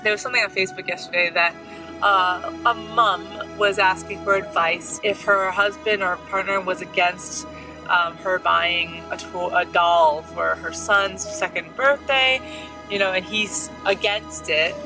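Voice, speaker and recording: A woman's voice: mid-range voice, fairly even intonation, a little slow, over music, rough recording. An American woman co-hosting a family vlog, talking in an earnest, chatty way. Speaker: vlog co-host. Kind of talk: casual chat.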